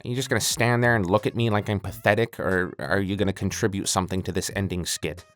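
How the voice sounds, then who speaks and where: A man's voice: low-pitched, fairly even intonation, quick pace, fairly bright tone. Man doing a whiny, melodramatic mad scientist over music. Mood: dejected, whiny. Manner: melodramatic, exasperated, stammering. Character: self-pitying, theatrical male evil mad scientist, petulant and whiny. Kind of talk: comedic audio skit. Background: creepy music.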